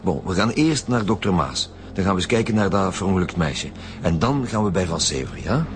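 Gruff, deep-voiced Flemish police detective. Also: male voice, expressive, brisk, background noise. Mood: brisk, dry. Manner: brusque, sceptical. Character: gruff, impatient male chief police detective, middle-aged. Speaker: actor in radio drama. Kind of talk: naturalistic radio drama acting.

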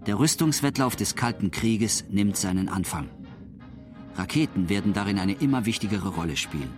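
A man's voice: lowish voice, lively intonation, normal speed, music in the background. A man reading scripted documentary narration for the radio.

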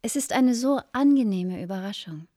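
An actress playing a middle-aged, judgmental wife and hostess in a radio drama, speaking with dramatic emphasis. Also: female voice, on the high side, animated, moderate pace, dark tone, no echo, studio quality. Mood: gushing.

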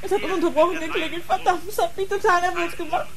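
A woman's voice: very high-pitched, fairly even intonation, fast talker, crowd noise. An actress playing an agitated, foul-mouthed young woman on drugs, nervy and whining, in a radio crime drama. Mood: upset, furious.

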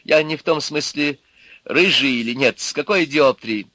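A man's voice: fairly high pitch, normal speed, pushed and bright, no room sound, voice pushed up. A man reading aloud, voicing a bespectacled man who asks precise, polite questions and then barks orders.

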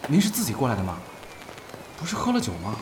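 A man's voice: mid-range voice, wildly swooping pitch, fast, background noise. A man playing a polite, mild young performer in an audio drama, speaking with deference. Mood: gently teasing. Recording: echoey, very loud music behind.

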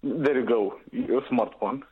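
A man's voice: medium pitch, a little slow, slightly rough, no echo. A man with a Romanian accent calling in to a radio show, polite and earnest. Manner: polite, earnest, then apologetic. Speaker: caller. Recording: dull phone-line sound.

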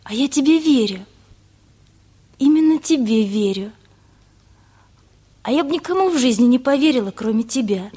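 Lovestruck teenage girl for a radio play, high and slightly breathy, eager and artless. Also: animated, moderate pace, pauses often, warm tone. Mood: tender. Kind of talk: Russian radio play acting.

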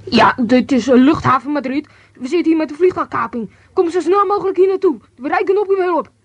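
A woman as flustered, high-voiced grumbling caller. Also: lively intonation, brisk. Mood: exasperated, flustered. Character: grumbling, flustered airport caller ringing for help. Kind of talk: amateur radio play acting.

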